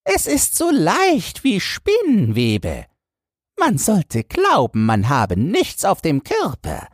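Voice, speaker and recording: A man voicing a sly, flattering swindler tailor in a fairy tale, with fawning, drawn-out showy praise and a husky voice. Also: high voice, dry recording, voice pushed up, heavily acted. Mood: gleeful boasting. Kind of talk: fairy-tale audiobook character voice.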